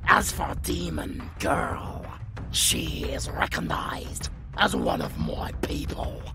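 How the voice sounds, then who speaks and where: An actor in a fantasy audio drama voicing a grave, formal, authoritative clan leader with a gravelly, breathy voice. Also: mid-range voice, very animated, over music, exaggerated voice. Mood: solemn, firm. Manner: formal, deliberate.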